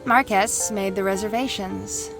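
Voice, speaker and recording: A woman playing a centuries-old ghost in a visual novel, guarded and formal, with a dry, measured delivery. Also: mid-range voice, steady tone, creaky, music in the background. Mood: grave. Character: centuries-old female ghost, guarded, terse, coolly knowing.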